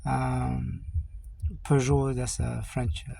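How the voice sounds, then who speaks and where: A man in his forties from Ethiopia, telling his life story to the camera in a halting way, with many fillers and restarts. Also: mid-range voice, monotone, gravelly, warm tone. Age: 40s. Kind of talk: slow personal storytelling to camera. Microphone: camera mic.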